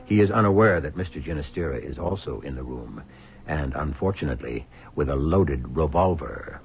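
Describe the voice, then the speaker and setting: Solemn old radio suspense narrator, super low voice, music under it. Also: male voice, expressive, moderate pace. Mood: ominous. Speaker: announcer. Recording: dull lo-fi radio broadcast recording.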